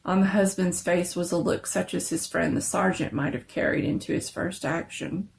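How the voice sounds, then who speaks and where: A woman's voice: on the low side, fairly even intonation, normal speed, creaky, slight background noise. A woman narrator giving a dramatic reading of a scary story.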